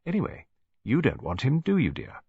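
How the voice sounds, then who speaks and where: A man voicing a kindly elderly English grandmother, a chatty, gently scheming matchmaker in an audiobook story. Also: mid-range voice, huge pitch swings, normal speed, husky, dry recording, phone-quality sound, voice pushed up, exaggerated voice. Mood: gently teasing. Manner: gentle, chatty, reasonable, fond.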